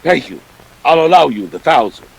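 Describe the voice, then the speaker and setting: An actor playing a jovial, pompous, scheming older crime boss with florid, courtly speech in a detective radio play. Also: male voice, a little high, sing-song, moderate pace, creaky, slightly rough, exaggerated voice, poor audio. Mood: jovial, composed.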